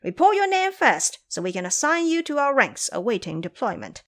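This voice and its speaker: A proud monkey king performed by a woman in an audio drama. He speaks high and swooping, haughty and teasing, as one used to giving orders. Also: very animated, moderate pace, fairly bright tone. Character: proud, sharp, mocking male monkey king.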